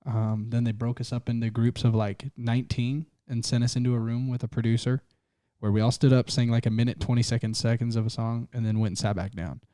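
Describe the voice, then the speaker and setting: A man's voice: mid-range voice, flat delivery, fairly quick, warm tone, no echo. A young man from Utah, a singer-songwriter guest, chatting loosely and swearing as he rambles through a story. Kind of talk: casual podcast chat.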